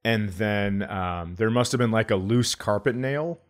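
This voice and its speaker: Podcast comedian guy, deeper voice, animated sweary storytelling, bit grumpy, casual. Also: a little slow. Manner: animated, grumbling, profane, acts out quotes.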